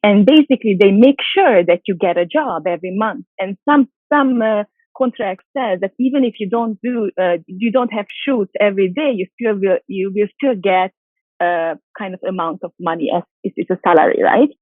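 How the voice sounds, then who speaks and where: A woman's voice: medium pitch, moderate pace, no echo. A Hungarian-native woman, a model and actress, answering interview questions in a chatty way with lots of fillers and restarts. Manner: chatty, many fillers and restarts, light laughs. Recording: dry tinny phone-like call audio.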